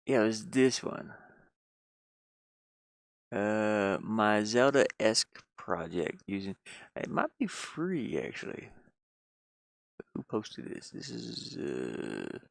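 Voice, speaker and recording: A man talks off the cuff on a casual livestream, his voice full of creaky fry. He takes his time, muttering to himself and wandering between thoughts in folksy, colloquial phrasing. The sound is close and dry. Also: fairly low pitch, big pitch swings, slow, pauses often, no echo. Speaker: livestreaming gamer and hobby game developer living in a van. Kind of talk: casual unscripted livestream chat. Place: inside a van.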